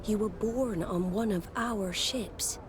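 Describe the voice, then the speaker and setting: An actress playing a commanding, solemn female admiral in a video game, speaking formally and deliberately. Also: mid-range voice, background noise, close to an everyday voice. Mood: solemn, authoritative. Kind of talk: dramatic game dialogue.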